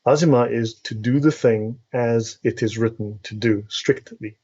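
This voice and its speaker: A man with a South African accent talks in an academic, teacherly way as an interview guest. His voice is mellow and mid-pitched. The audio is a little dull and lo-fi. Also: a little slow, breathy edge. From South Africa, born and raised in Cape Town. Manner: academic, didactic, checks understanding with "right?". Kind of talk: explanatory live interview talk.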